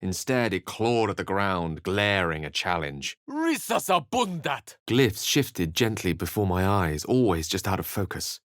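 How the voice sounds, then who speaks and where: A low-pitched, bookish wizard in a fantasy video game. He is wry and courteous, choosing ornate words with theatrical care. His intonation rises and falls widely, and the recording is dry and close. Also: huge pitch swings, unhurried, few pauses, a bit bright, no echo, heavily acted. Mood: intense incantation. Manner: articulate, ornate, dramatic phrasing. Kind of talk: theatrical fantasy game dialogue and storybook-like narration.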